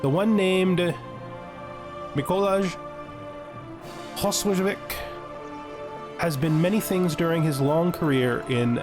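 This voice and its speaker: A man's voice: a little high, takes it slowly, long pauses, over music. A man giving casual let's-play commentary, thinking aloud and wryly addressing the game's characters. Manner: wry, talks to game characters. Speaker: gaming video host.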